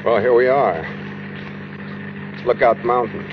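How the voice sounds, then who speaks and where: A man's voice: mid-range voice, measured pace, lightly acted. An actor playing a menacing, taunting killer in a 1940s radio thriller, curt, commanding and sardonic with his captive. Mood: cool, taunting. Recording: muffled, noisy 1940s radio recording.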